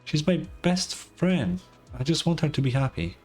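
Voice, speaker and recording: A man's voice: fairly high pitch, moderate pace, darkish tone, husky, faint music behind, pitched above the performer's own voice. A man voicing a worried young child from a game, loyal and earnest, with a hesitant, pleading manner. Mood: sad, pleading.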